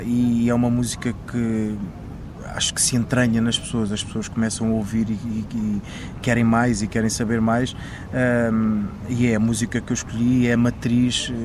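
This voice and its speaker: A man's voice: mid-range voice, background noise. A man who sings fado, from northern Portugal but without a regional accent, answering interview questions in an earnest, reflective way with many restarts. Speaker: fado singer.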